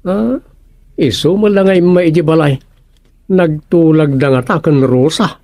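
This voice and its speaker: Ilocano village son, kinda high voice, bantering, radio comedy drama. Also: male voice, a little slow, a little mellow, dry recording, studio quality. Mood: teasing.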